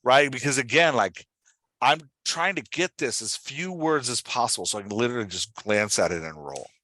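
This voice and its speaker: Bright-voiced man coaching, close and dry. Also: medium pitch, animated, moderate pace, no echo. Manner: direct, instructive, often checks understanding. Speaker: podcast host coaching an author on dialogue. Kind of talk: casual one-on-one coaching talk.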